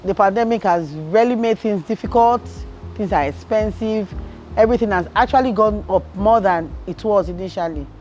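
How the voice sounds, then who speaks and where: Woman sharing her own experience for a doc, medium pitch, soft music under. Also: moderate pace, muffled recording. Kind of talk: documentary interview, personal account.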